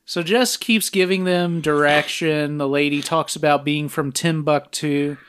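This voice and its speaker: A young man with a somewhat higher voice than most men walks through a film's scenes in a casual podcast. He talks steadily and breaks into laughter often. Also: steady tone, a little slow, fairly bright tone, clear, resonant tone. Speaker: podcast co-host. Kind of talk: casual chat and film recap.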